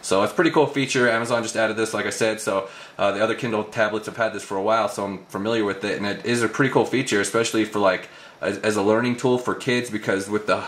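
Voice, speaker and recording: Casual male tech reviewer, lowish pitch, brisk pace, roomy echoing recording. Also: fairly even intonation, a bit bright. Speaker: tech reviewer presenting a demo. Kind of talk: casual product demo narration.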